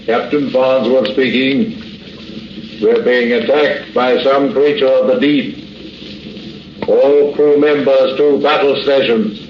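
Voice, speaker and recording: Casting: man as stern-ordered captain on the address system, deliberate, echoey, noisy vintage radio. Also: mid-range voice, fairly even intonation, muffled recording, lightly acted. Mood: urgent, commanding. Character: commanding submarine captain making announcement. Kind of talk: shipwide announcement, 1940s radio acting.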